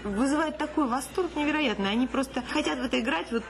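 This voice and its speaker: A woman's voice: fast talker, creaky, over music. A middle-aged woman, an acting teacher and actress, talking earnestly and expressively in a casual interview. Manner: expressive, earnest, with lively pitch jumps.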